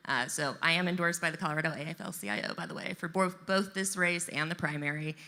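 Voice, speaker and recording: A woman political candidate answering debate questions in a brisk, conversational way. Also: low voice, pushed and bright. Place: live debate in a large event venue. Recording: long reverb in a large venue.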